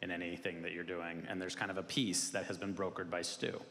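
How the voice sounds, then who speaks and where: A man's voice: low-pitched, little pitch movement, fairly quick, a bit bright. Man plainly explaining a premise. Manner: explaining, matter-of-fact. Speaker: actor guest. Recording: echoey convention hall.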